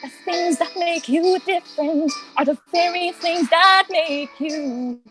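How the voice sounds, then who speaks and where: A woman's voice: extremely high pitch, unhurried, fairly bright tone, soft background music. A woman playing a loving, protective mother in a musical theatre table read, encouraging her son in speech and song. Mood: encouraging, singing.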